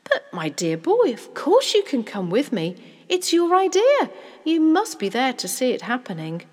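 A woman voicing an excitable, scheming and affectionate father of a young boy in a children's storybook, exclamatory and shifting between hushed and booming. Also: normal speed, big-room sound, full character voice. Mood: bubbling enthusiasm. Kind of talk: children's storybook character acting.

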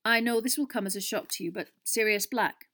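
A woman voicing a stern, serious female schoolteacher in an audiobook, speaking with grave, deliberate authority. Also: medium pitch, pushed and bright. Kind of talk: audiobook character voice.

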